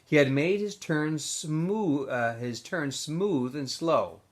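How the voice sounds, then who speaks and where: A man's voice: on the high side, slow pace. A man reading a children's novel aloud as a teacher would, in an informal way with small stumbles and self-corrections.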